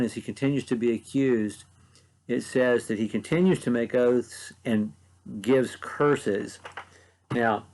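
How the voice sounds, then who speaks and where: A male preacher with an Ohio Valley accent talks through a passage of scripture in a short devotional. His voice has a gravelly, creaky edge and sits in an ordinary middle pitch. He sounds earnest and conversational, with frequent ums. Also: a little mellow. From mid-Ohio Valley, USA. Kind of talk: short devotional Bible teaching.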